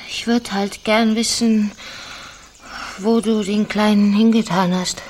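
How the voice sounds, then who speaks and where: A woman's voice: mid-range voice, fairly even intonation, slow, a little mellow, slight background noise, natural voice. Actress as a meek, pleading young backwoods woman. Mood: timid, pleading. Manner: timid, pleading, hesitant. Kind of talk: radio drama acting.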